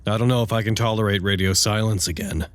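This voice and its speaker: A man's voice: lowish voice, fast talker, a little hiss or hum, close to an everyday voice. A man playing an exhausted, stubborn radio operator in an audio drama, hesitant, evasive and jumpy. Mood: weary. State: tired. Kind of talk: naturalistic audio drama dialogue.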